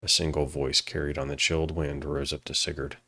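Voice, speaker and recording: A man narrating a dramatic fantasy story from a script in a solemn, measured way. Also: rumbling low, little pitch movement, sharp tone, no room sound, clean studio sound.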